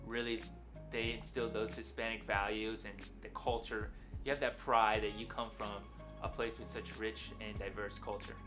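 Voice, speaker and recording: A young college athlete speaking with steady pride about his heritage. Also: lowish voice, normal speed, music in the background. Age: young adult. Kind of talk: promo testimonial.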